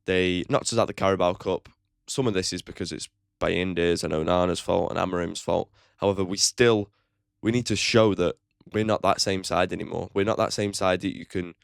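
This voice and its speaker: Man, deep voice, gravelly fry, dry close sound, blunt opinionated football vlogger. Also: normal speed, no echo. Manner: opinionated and blunt, swears casually; chatty, with frequent "like" fillers. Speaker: football fan channel host. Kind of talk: football match preview vlog.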